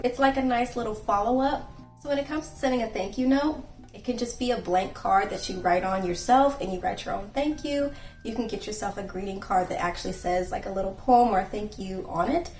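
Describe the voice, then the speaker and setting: A young woman hosting her own channel, giving earnest, gently instructive etiquette advice and acting out sample phone calls. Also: medium pitch. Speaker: channel host and etiquette creator. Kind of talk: casual etiquette advice vlog. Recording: echoey room, voice from a distance, faint music.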